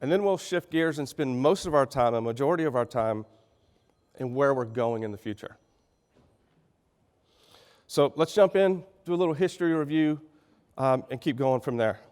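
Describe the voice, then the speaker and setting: A middle-aged man with a Texan accent, slightly raspy from a sinus infection, giving a folksy conference keynote. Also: mid-range voice, lively intonation, normal speed, long pauses, darkish tone. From Texas. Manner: folksy, conversational, with frequent fillers and restarts. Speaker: keynote speaker. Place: large conference hall. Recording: reverberant hall sound.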